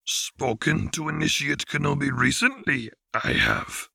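A man voicing an ancient, small alien sage in a storybook reading, creaky and raspy, speaking in halting, inverted phrases. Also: on the high side, bright, forceful tone, no room sound, clean studio sound, pitched above the performer's own voice, heavily acted. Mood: musing, cryptic. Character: ancient small alien Jedi master, cryptic, grave.

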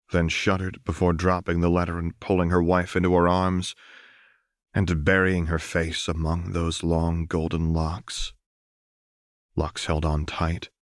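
Deep-voiced, slow male audiobook narrator. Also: no echo, studio quality. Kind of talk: scripted fiction narration.

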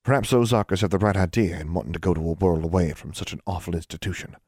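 A man voicing a proper, thoughtful young girl in a low, earnest manner. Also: fairly even intonation, fast talker, darkish tone, husky, dry recording, clean studio sound.

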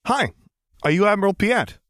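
A man's voice: sits high, lively intonation, moderate pace, a bit bright, no echo, clean studio sound, voice pushed up. A man voicing a casual, cheeky teenage boy in an audiobook, eager and given to grumbling. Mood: eager, nervous.

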